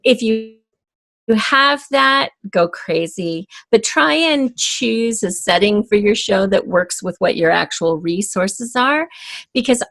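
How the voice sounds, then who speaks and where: A woman's voice: medium pitch, animated, takes it slowly, gravelly, a bit bright. A woman speaking as a guest writer in a podcast interview, giving emphatic, encouraging advice in a conversational way.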